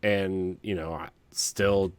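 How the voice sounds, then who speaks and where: A man talking casually and candidly as a podcast co-host, with a halting, hedging delivery. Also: deep voice, fairly even intonation, clean tone.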